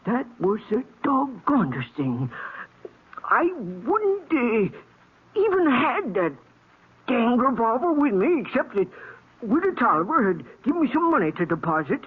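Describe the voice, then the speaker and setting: An actor playing a meek, henpecked aging man in a radio western, with a stammering, folksy American delivery and a husky, wavering voice. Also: very high voice, narrow, tinny audio, full character voice. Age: older. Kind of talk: old-time radio western acting. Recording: thin, band-limited old radio recording.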